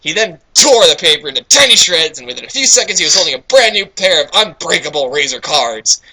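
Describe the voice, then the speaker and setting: A man's voice: on the high side, big pitch swings, a little fast, sharp tone, slightly rough, low-quality recording. A man reading fanfiction aloud with theatrical, jokey delivery, breaking off into casual banter. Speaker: reader in a group comedy reading.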